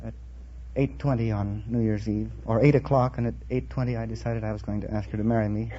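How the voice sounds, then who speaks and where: A man's voice: fairly low pitch, steady tone, quick pace, mellow. A man answering as a quiz show contestant in a formal, wordy, precise manner. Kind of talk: interview answers on a radio quiz. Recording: muffled, noisy vintage radio recording.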